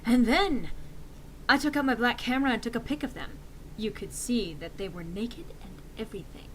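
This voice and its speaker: A woman's voice: a little high, normal speed, slight background noise, poor audio. A woman giving an exaggerated dramatic reading of fan fiction in a theatrical manner. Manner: theatrical, swinging into shouts for quotes.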